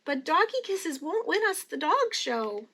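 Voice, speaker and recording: A woman voicing an excitable little girl who fancies herself elegant, in a theatrical storybook manner. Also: very high-pitched, unhurried, pitched above the performer's own voice, heavily acted. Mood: earnest, affectionate. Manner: theatrical, sprinkles in French words. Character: Nancy, excitable fancy little girl dog owner.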